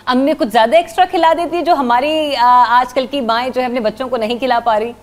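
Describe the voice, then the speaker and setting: A woman interview host with a Pakistani accent and a fairly high voice. She speaks conversationally and chattily, as a television presenter does when putting questions to a guest, and drops in short interjections. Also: steady tone, fast talker.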